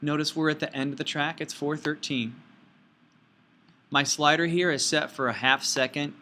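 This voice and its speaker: A man giving informal step-by-step software instruction as a teacher, with folksy, playful phrasing. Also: fairly high pitch, fairly even intonation, moderate pace. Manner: folksy, playful asides and sound words.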